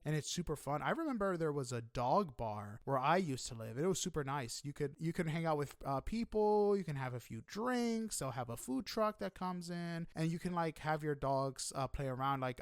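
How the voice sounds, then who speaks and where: Male podcast interviewer, slightly high voice, informal and chatty with hesitant phrasing, dry close recording. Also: dry recording. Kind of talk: casual conversational interview.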